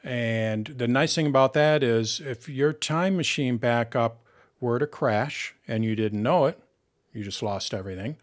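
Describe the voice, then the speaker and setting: A man with a Michigan accent answers viewers' tech questions in a live chat, mid-pitched and easygoing. He explains things loosely with plenty of ums and small restarts, sounding like a friendly, practical tutor. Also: a little slow. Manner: relaxed, explains with everyday examples, frequent "um".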